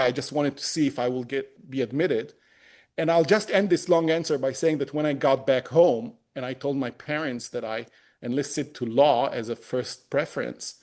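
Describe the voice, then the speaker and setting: A man in his fifties from Israel, a native Hebrew speaker, talking as a guest in an interview, pausing often with fillers and restarts. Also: medium pitch, a little mellow. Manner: hesitant, many fillers and restarts. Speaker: human rights lawyer, guest. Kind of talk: conversational interview.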